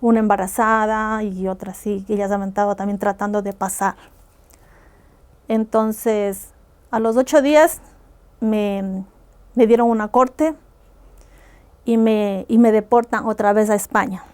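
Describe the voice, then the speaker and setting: A woman's voice: medium pitch, fairly even intonation, measured pace, pauses often, darkish tone, smooth. A woman from Ecuador, earnestly recounting hard personal experiences as a guest on a podcast. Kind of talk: personal testimony in a podcast interview.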